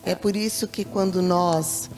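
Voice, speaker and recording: A Brazilian woman with a Brazilian Portuguese accent talks in a halting, thoughtful way, repeating words as she gathers her thoughts. Her voice carries a gravelly fry, and a long reverb trails it while faint music plays underneath. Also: on the low side, fairly even intonation. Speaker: deaconess. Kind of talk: church talk show conversation.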